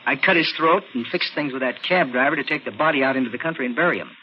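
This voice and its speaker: Cold-blooded racketeer played by a male actor, American, medium-pitched, clipped and commanding, muffled vintage radio thriller sound. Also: a little hiss or hum, close to an everyday voice. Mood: cold, menacing. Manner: brisk, clipped, commanding tough talk. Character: cold-blooded racketeer murderer posing as a policeman. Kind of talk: 1940s radio thriller acting.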